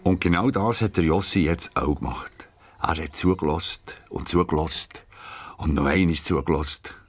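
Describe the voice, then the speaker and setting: Deep-voiced Swiss German storyteller over music. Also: male voice, normal speed. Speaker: narrator. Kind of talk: scripted children's storytelling. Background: music, plucked guitar.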